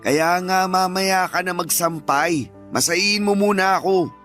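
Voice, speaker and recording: A man's voice: sits high, unhurried, a bit bright. A shameless, lazy Filipino live-in boyfriend for radio drama. He is nonchalant and whiny, putting blame on others, with music underneath. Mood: lazy, irritated.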